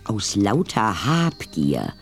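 A man's voice: on the low side, huge pitch swings, slow pace. A man narrating a German audio drama in a dramatic storytelling style. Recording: music behind.

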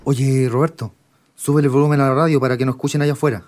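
A man's voice: mid-range voice, steady tone, rapid-fire, lightly acted. A man acting a determined, idealistic young student leader in a radio drama, colloquial, with a Chilean accent. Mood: worried, urgent. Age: young adult. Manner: colloquial, earnest.

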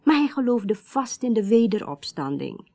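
A reserved widow in a radio drama. Her voice is soft-edged and mellow, and she speaks in halting, polite phrases while quietly insisting on answers. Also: on the high side, very animated. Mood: insistent, troubled. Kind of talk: naturalistic Dutch radio drama acting.

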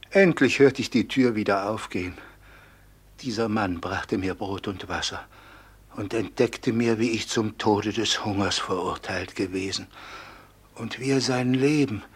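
A man's voice: on the low side, a bit bright, husky, heavily acted. An actor playing a starved, imprisoned old father, frail and solemnly lamenting in a classic radio drama. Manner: slow, lamenting, solemn declamation.

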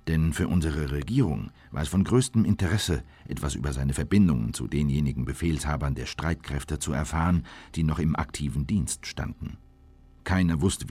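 Very deep, husky sober narrator. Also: male voice, darkish tone, no room sound, full character voice. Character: sober male former official recounting his interrogation. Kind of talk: scripted first-person radio drama narration.

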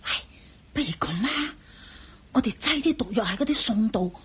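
A woman's voice: on the high side, lively intonation, moderate pace, a crowd in the background, lightly acted. A woman with a Hong Kong Cantonese accent playing a motherly, scheming palace cook in a radio drama, chatty and coaxing. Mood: consoling, conspiratorial.

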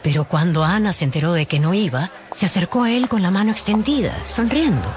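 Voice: mid-range voice, animated, brisk, background noise. A narrator telling a radio novel in a scripted, dramatic style.

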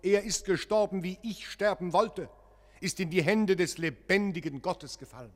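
A man's voice: sits high, moderate pace, natural voice. An actor playing a troubled, earnest visiting clergyman in a radio play, speaking in solemn, insistent short phrases. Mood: brooding, anguished. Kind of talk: German radio play acting. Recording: long echoing church-like reverb.

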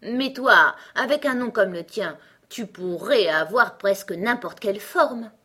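Lady doing a pompous grumpy egg guy for a kids story, raised pitch, very condescending and emphatic. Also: expressive, unhurried, sharp tone, breathy edge, full character voice. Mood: boastful, self-important. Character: pompous, irritable male talking egg. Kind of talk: storybook character acting.

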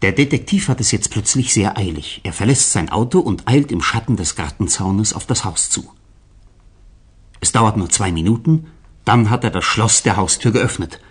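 Male radio-play narrator: deep-leaning, rough-edged voice, expressive scripted storytelling. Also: normal speed.

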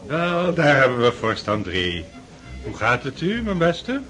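A man's voice: mid-range voice, huge pitch swings, measured pace. An actor playing a genial, doting old count and father, hearty and affectionate, in a period radio drama. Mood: genial, sociable. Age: older. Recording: radio play with loud music behind. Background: loud music with violin.

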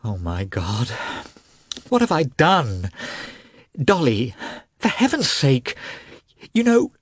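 A man's voice: mid-range voice, wildly swooping pitch, slow pace, husky, no room sound, studio quality, voice pushed up, full character voice. A man voicing a guilt-stricken husband in an audiobook, pleading and faltering in a choked, emotional voice. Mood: anguished. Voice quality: soft, timid, choked with sobs.

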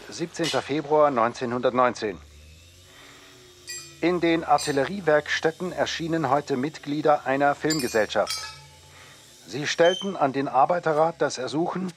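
A man giving a formal scripted reading of documents in a measured, official way. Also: fairly high pitch, a little hiss or hum. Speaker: reader of historical documents.

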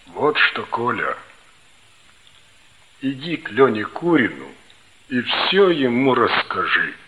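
Stern male teacher: deliberate and animated, blunt, admonishing, vintage radio drama with faint noise. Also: medium pitch. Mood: firm, dismissive. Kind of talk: 1960s Soviet radio play acting. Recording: dull, slightly noisy old radio recording.

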